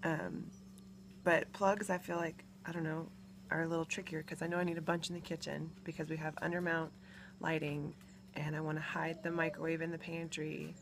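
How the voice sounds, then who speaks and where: A young woman talks through her home build in a loose, rambling way, pausing on fillers and small laughs. Her voice sits a little low for a woman. She holds the phone at arm's length, and faint background noise runs under her. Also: little pitch movement, vocal fry. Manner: chatty, thinking aloud with fillers and small laughs. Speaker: family vlogger. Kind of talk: casual home-building vlog. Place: house under construction and a back porch. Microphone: phone held at arm's length.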